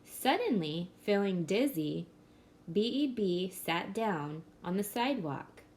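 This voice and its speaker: A woman reading a children's picture book aloud in a gentle storybook manner. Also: mid-range voice, sing-song, takes it slowly.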